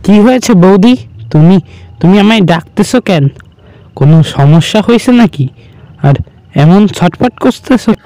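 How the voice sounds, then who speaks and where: Cartoon fisherman neighbour, voiced by a male narrator: bright raised voice, flustered and eager to help. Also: high-pitched, animated, unhurried, long pauses, no echo. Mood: alarmed, concerned. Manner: flustered, repeating words. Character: agitated, helpful male village fisherman neighbour. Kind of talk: cartoon character dialogue.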